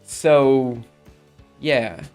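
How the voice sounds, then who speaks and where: A man's voice: medium pitch, extremely slow, darkish tone, soft background music. A man from Alabama hosting a live stream, chatting casually with viewers, rambling with frequent ums and laughs. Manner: rambling, reads chat aloud and answers, frequent ums and laughs. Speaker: livestream host.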